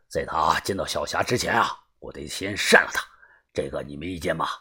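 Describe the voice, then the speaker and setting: A man voicing a coarse, menacing gang boss who talks low and gruff. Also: fairly quick, bright, forceful tone, full character voice. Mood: sinister.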